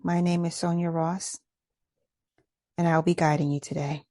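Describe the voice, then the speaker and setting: A woman leading a guided meditation in a gentle, measured, instructional way. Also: fairly low pitch, flat delivery, dry recording, studio quality.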